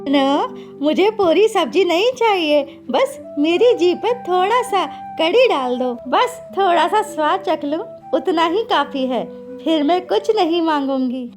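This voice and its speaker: A greedy, meddling cartoon granny, with an extremely high, childlike squeak. She whines and wheedles over faint music. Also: animated, unhurried. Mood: wheedling. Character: greedy, meddling, wheedling old village woman. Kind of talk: animated cartoon story acting.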